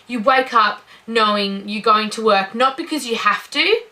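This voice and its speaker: Casting: nineteen-year-old Aussie woman, bright and sharp-toned, pitched a little high, chatty and enthusiastic. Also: moderate pace. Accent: Australian. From Australia. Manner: upbeat, gushing, says "incredible" and "you know" often. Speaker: vlogger. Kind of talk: casual talk to camera.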